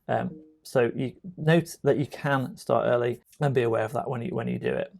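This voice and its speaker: Low-key gravelly man giving a tutorial. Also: normal speed, darkish tone. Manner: conversational, explanatory, addresses viewer directly. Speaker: tutorial presenter. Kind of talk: informal software tutorial narration.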